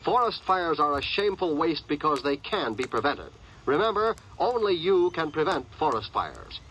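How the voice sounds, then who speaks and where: A man's voice: fairly high pitch, sing-song, normal speed, a bit bright, poor audio. A man reading a scripted public service announcement in formal, emphatic old-time radio style. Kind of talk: scripted 1950s radio announcement, earnest persuasion. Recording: old radio recording with faint noise.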